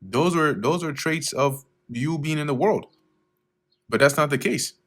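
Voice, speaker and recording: A man teaching verse by verse through scripture, reading each verse slowly and then explaining it in a casual way with fillers, often clearing his throat. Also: on the high side, fairly bright tone. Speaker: Bible teacher.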